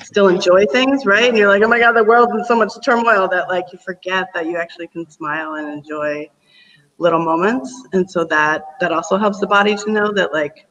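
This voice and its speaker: A man's voice: sits high, fairly even intonation, brisk, bright, forceful tone, distant mic, muffled recording. A man talking earnestly about breathing as a teacher on a panel discussion, rambling a bit and laughing often.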